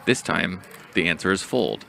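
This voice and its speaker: A man narrating a scripted poker hand breakdown as a commentator, in a measured, explanatory way. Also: deep voice, very animated, vocal fry, pushed and bright, a little hiss or hum.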